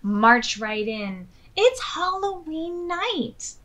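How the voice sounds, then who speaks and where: An American woman in her thirties hosting storytime, reading aloud expressively and clearly to young children. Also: sits high, big pitch swings, very slow, fairly bright tone, slight background noise. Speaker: children's storytime host at a public library. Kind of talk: read-aloud storytelling for preschoolers. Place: small furnished room indoors.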